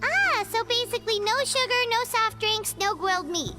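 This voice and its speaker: A woman's voice: lively intonation, moderate pace. A woman voicing a small, chatty, childlike fairy companion who is easily spooked, in a video game. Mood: skeptical. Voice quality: childlike, squeaky. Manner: speaks of herself in third person. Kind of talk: English video game voice acting. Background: music.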